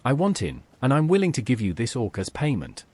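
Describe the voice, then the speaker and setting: A man's voice: mid-range voice, huge pitch swings, a little fast, a little mellow, husky, dry recording. A man's computer-generated voice speaking plain, matter-of-fact game dialogue. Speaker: player character.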